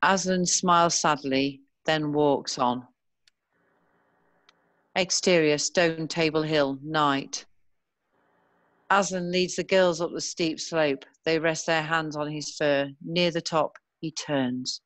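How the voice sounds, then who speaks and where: A woman narrating a screenplay, reading scene headings and stage directions in a measured way. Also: sits low, steady tone, a bit bright, no room sound.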